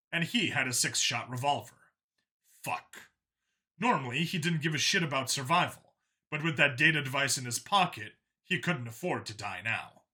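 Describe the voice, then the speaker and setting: A man's voice: on the high side, bright, forceful tone. A man narrating a scripted action story in a dramatic style.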